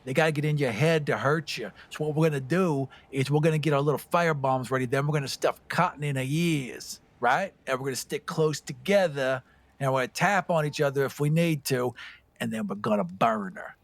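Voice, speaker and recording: A man's voice: mid-range voice, fairly quick. A man playing a tabletop game, chatting casually in a jokey, self-deprecating and profane way.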